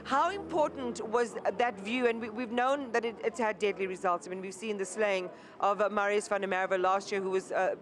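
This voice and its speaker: A woman's voice: medium pitch, normal speed, few pauses. Articulate news anchor woman. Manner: formal and articulate, with frequent fillers. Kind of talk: live news interview.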